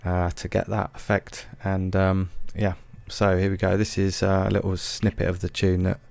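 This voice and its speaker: A man's voice: sits low, monotone, moderate pace, gravelly, darkish tone. A man narrating a music production tutorial in a casual, hesitant, self-deprecating way.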